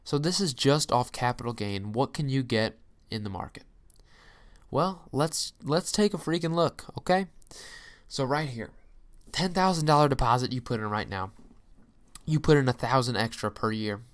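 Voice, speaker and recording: A man's voice: mid-range voice, normal speed. A young man giving an informal, emphatic explainer as a channel host, peppered with folksy exclamations and rhetorical questions. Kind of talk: informal explainer, walking through a calculator.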